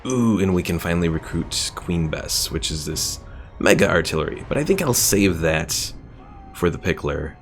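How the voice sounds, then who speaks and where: A man's voice: low voice, animated, moderate pace, soft background music. A man casually commentating his strategy game on a live stream, thinking aloud, reading out stats and chat comments with plenty of fillers.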